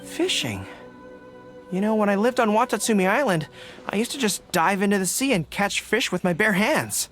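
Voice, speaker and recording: An earnest young soldier for an anime game. His voice is high for a man and his delivery is friendly and straightforward. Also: animated, normal speed, fairly bright tone, faint music behind.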